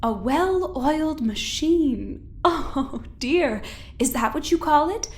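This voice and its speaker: A woman's voice: sits high, expressive, slow. A woman playing a polite but calculating scientist in an audio drama, with a genteel, formal, mock-innocent manner. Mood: cool, needling. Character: polite, smug, calculating woman scientist, needling and faux-sweet.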